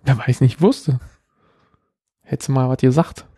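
A man's voice: mid-range voice, fairly even intonation, normal speed, warm tone, breathy edge, no room sound, studio quality. A middle-aged man from Berlin with a light Berlin accent, rambling through stories in a casual podcast chat. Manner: rambling, self-mocking storytelling. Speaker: podcast co-host.

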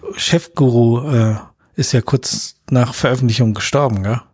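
A man with a husky voice talks casually as a film podcast host. He speaks in slangy, colloquial language, and the sound is dry and close, with very little room to it. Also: medium pitch, normal speed, a little mellow, no room sound. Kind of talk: casual film review chat.

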